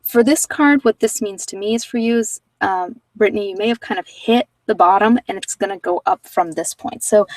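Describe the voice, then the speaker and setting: Woman, mid-low pitch, casual tarot reader, informal conversational delivery, dry sound, a little away from the mic. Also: normal speed, few pauses, a little mellow, no echo, recorded from a distance, rough recording. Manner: conversational, informal, anecdotal asides. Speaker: host giving tarot card readings. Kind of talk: casual chatty live tarot reading.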